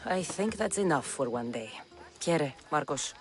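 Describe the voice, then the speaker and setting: A woman's voice: low-pitched, expressive, measured pace, faint music behind. An actress playing a tough, wary young mercenary woman, dry and blunt, in a video game. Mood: exasperated, sardonic. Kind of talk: video game cutscene acting.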